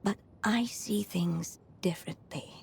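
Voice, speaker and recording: Casting: aging female storyteller for a game, gently resolute and wistful, very unhurried delivery, soft music behind. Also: medium pitch, vocal fry. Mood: reflective. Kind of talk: scripted game voice acting. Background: background music.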